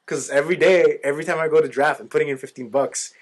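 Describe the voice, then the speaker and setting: A young man co-hosting a podcast, chatting casually in an excitable, playful way. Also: a little high, quick pace. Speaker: podcast co-host. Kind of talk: casual chat.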